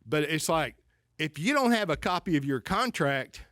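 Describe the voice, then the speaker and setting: A man's voice: fairly high pitch, big pitch swings, normal speed, a bit bright, no echo, studio quality. A man with a Southern accent, a financial advisor, talking in a rambling way, restarting sentences and often asking "right?". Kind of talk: casual conversation.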